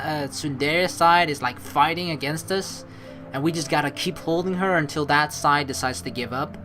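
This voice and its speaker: A man hosting a let's play, informal and rambling, moving between casual commentary and reading story text aloud. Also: a little high. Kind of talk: casual game commentary mixed with dramatic read-aloud narration. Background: game music.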